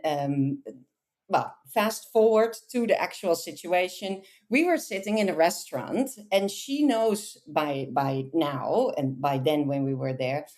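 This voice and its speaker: A woman, a podcast guest telling lively, self-amused anecdotes in a casual interview. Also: low voice. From Netherlands. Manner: chatty, animated, self-amused storyteller.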